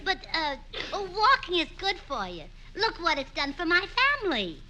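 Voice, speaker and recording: An actress playing a scatterbrained, cheerful wife, sweetly literal and earnest, in 1940s radio sitcom style. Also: female voice, extremely high pitch, big pitch swings, normal speed, bright, forceful tone, slight background noise, heavily acted. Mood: chirpy. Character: scatterbrained cheerful wife, middle-aged woman.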